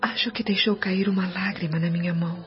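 A Brazilian actress playing a humble, suffering woman spirit in a radio drama, with a breathy, often whispering voice and tender delivery. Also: lowish voice, fairly even intonation, brisk, lightly acted. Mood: grateful, tender. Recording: dull lo-fi radio drama recording. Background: soft music.

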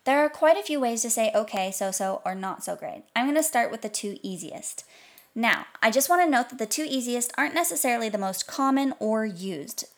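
A woman presenting a scripted podcast language lesson, repeating foreign phrases slowly and clearly. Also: fairly high pitch, animated. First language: English.

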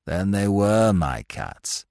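A man's voice: low voice, wildly swooping pitch, normal speed, no room sound, clean studio sound, voice pushed down, exaggerated voice. A man voicing a mild, apologetic young Oxford student with an upper-class English accent and a hesitant, chatty, slangy manner. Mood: apologetic, sad.

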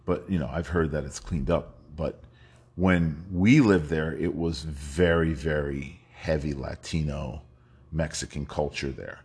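A man's voice: very deep voice, steady tone, measured pace, a little mellow, husky. A man in his fifties from New York, telling his life story as a guest in a rambling, casual way with frequent laughs. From New York, United States. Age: 50s. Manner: rambling, laughs often, many fillers and restarts.

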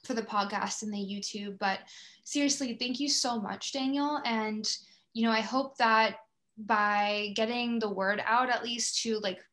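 A woman's voice: fairly high pitch, steady tone, a little slow, fairly bright tone. An American woman from Florida speaking as a podcast host in a casual, conversational interview. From Florida, United States.

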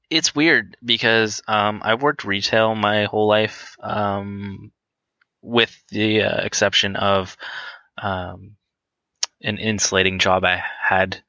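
A man in his late twenties talking candidly and casually as a guest, hesitant and halting, feeling his way through his answers. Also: on the low side, flat delivery, sharp tone, no echo, highs cut off. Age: twenty-nine. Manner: hesitant, halting, self-conscious. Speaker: interview guest on a podcast.

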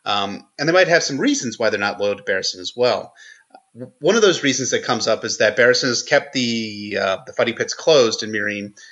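A man with a bright, forceful voice talks through an analysis as a podcast guest. He explains point by point, often restarting his phrases. The recording is a little dull and lo-fi. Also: medium pitch, expressive, fairly quick. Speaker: guest commentator. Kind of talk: podcast analysis, unscripted discussion.

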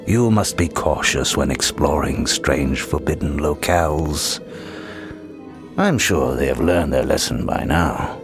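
Very deep, slow, spooky storyteller played by a man. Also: full character voice. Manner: measured, ominously playful. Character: genial, mysterious Halloween storyteller host. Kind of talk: theatrical scripted narration. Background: music.

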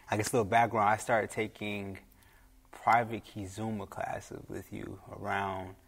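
A man's voice: fairly low pitch, unhurried, creaky, breathy edge. Laid-back guy hosting an interview podcast, easy repetitive back-channeling. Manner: relaxed, repeats "yeah" and "okay" often. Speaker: podcast host. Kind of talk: casual interview chat.

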